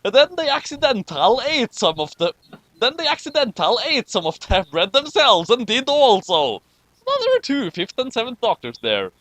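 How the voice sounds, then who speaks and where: A man reading comic fan fiction aloud with exclamatory, animated delivery and amused asides. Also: very high-pitched, normal speed, dry recording.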